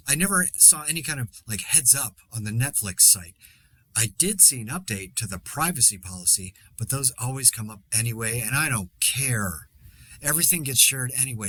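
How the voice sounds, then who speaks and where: A man plays an exasperated survivor podcasting through a zombie outbreak. His voice sits a little low, with a bright, forceful edge. He rambles conversationally in a dry, sarcastic way, as in a fictional solo podcast. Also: exaggerated voice. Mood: dry disbelief. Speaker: podcast host.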